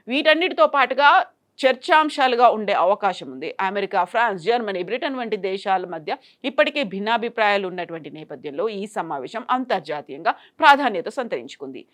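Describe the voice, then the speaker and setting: A woman's voice: medium pitch, fairly bright tone. A woman presenting scripted news in a formal, emphatic way.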